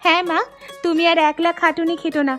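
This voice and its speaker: A woman voicing cheerful, caring young married daughters in an animated Bengali family story, speaking in deliberate storybook phrases. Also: very high voice, soft background music. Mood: eager, affectionate.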